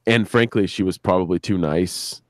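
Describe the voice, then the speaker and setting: A man with a fairly low voice talks casually on a live stream. The sound is very dry. He hesitates, restarts to find the right word, and swears freely as he explains. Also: normal speed, no room sound. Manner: hesitant, searching for words, frank and profane. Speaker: show creator and podcast guest.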